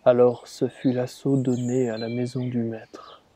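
A man reciting a dramatic monologue as a dying rebel slave, in grave, deliberate, measured phrases. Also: mid-range voice, little pitch movement, dark tone. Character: dying rebel slave reliving his revolt, grave and defiant. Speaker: reader performing a dramatic monologue. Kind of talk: slow literary dramatic recitation.

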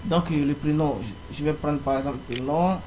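A young man giving a screen-recorded walkthrough of an online application, pausing often with fillers as he explains each step. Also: fairly high pitch. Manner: halting, frequent fillers. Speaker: tutorial presenter. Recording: faint background noise.

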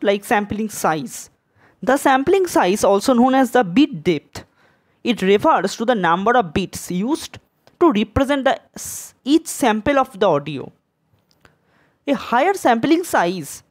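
A woman presenting a tutorial in a scripted, educational narration style. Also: on the high side.

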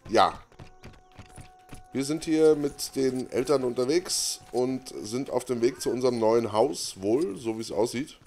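A man's voice: medium pitch, dark tone. A man giving casual, unscripted game commentary as host, muttering offhand reactions to himself. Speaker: gaming let's play host.

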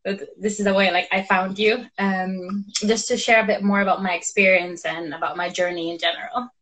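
Casting: young woman, English, warm and light, guest on a phone video call. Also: mid-range voice, steady tone, moderate pace, poor audio. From Honduras. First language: Spanish. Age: young adult. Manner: warm, laughs lightly between phrases. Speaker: guest, law student. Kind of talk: live video chat interview. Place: indoor room. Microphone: phone front camera.

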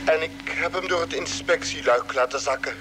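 A man's voice: fairly high pitch, normal speed, music in the background, close to an everyday voice. An actor playing a desperate, anguished spaceship crewman recording a message in a vintage radio drama. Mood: haunted confession.

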